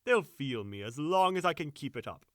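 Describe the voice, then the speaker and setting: A man's voice: fairly high pitch, big pitch swings, moderate pace, no echo, studio quality. An actor playing a brash, boastful young male servant with a crude swagger in an audio drama. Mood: cocky.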